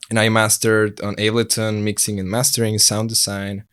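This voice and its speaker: A young man from Mexico chats loosely on a podcast, with an easygoing manner. His voice is a little low. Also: completely flat delivery, normal speed, fairly bright tone, smooth. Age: 20s. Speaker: podcast guest, DJ and studio founder. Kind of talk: casual chat.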